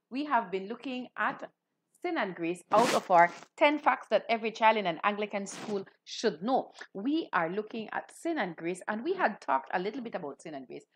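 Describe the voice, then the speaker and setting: A woman's voice: medium pitch, slight background noise. A woman, hosting a children's Bible lesson, asking questions and repeating key points. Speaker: children's Bible show host, Anglican clergy. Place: small room indoors.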